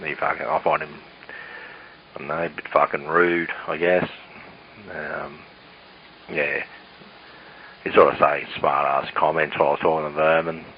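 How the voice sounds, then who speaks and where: A man's voice: rumbling low, flat delivery, moderate pace, long pauses. A man chatting casually over CB radio, blunt and sweary. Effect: CB radio transmission. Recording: muffled hissy CB radio transmission.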